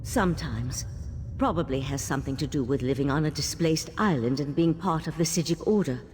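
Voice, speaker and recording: A woman's voice: sits low, a little fast, darkish tone, big-room sound, a little hiss or hum, natural voice. An actress playing an earnest, cautious, scholarly female elven mage in a video game. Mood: wry, serious. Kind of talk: video game character dialogue.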